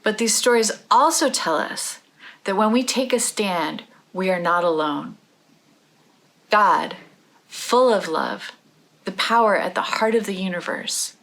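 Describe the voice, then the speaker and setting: A woman reading her own book aloud as a children's read-aloud, in deliberate, measured phrases. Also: medium pitch.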